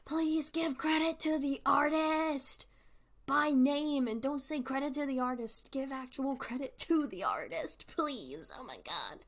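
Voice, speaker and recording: Candid, halting woman livestreamer. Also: sits high, fairly bright tone. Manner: halting, repetitive, emphatic asides. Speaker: streamer and artist. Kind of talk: candid casual livestream commentary.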